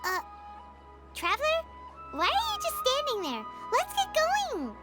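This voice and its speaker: Casting: tiny excitable game fairy, extremely high childlike voice, wildly swooping intonation, whiny and exclamatory. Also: female voice, measured pace, loud music behind the voice, exaggerated voice. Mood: excited, urgent. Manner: refers to self in third person, whiny and exclamatory. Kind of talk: video game voice acting.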